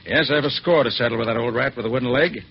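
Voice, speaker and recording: An actor playing a shrewd, confident master detective in an old radio drama, speaking in a crisp, formal, self-assured manner. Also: male voice, mid-range voice, rapid-fire, lightly acted. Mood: confident, determined. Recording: muffled, noisy vintage radio broadcast.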